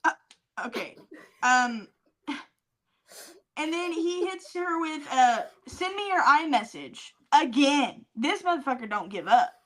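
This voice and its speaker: A woman's voice: sits high, lively intonation, slow, a bit bright. A woman co-hosting a casual comedic podcast, reading messages out with wry mockery, swearing casually and laughing often. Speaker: podcast co-host.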